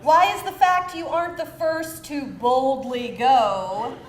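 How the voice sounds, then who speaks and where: A woman playing an exasperated, sharp-tongued girlfriend in a live screenplay table read, with emphatic, sarcastic delivery. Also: sits high, takes it slowly. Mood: defensive. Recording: echoey room, faint noise, live reading.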